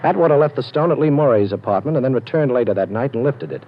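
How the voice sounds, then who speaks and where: An actor playing a cool, wisecracking ex-con detective in a 1940s radio drama, talking in quick, breezy wisecracks. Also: male voice, mid-range voice, lively intonation, lightly acted. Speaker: lead detective. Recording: dull lo-fi old radio with faint hiss.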